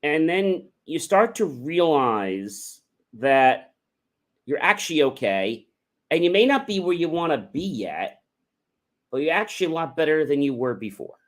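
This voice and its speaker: A man's voice: on the high side, very animated, unhurried. Man chatting casually in rambling motivational monologue. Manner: rambling, conversational, emphatic asides. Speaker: livestream host, motivational speaker.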